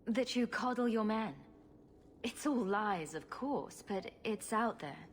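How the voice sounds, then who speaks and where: Dry, sarcastic rogue heroine. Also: female voice, on the high side, expressive, slow pace, slight background noise. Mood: dry, teasing. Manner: dry, wry. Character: sarcastic, self-assured female rogue hero. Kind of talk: video game voice acting.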